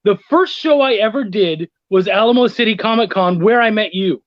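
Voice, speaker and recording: On a slightly muffled, very dry recording, a man talks casually as an interview guest. He tells stories in an animated, conversational way and swears often. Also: sits high, moderate pace, no echo. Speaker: guest, freelance writer and editor. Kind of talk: casual anecdotal chat.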